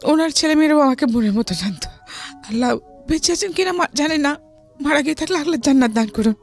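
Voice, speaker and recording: A woman with a Bangladeshi accent tells a personal story in a conversational way, as an interview guest. Her voice is high, and soft music plays behind her. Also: expressive, fast.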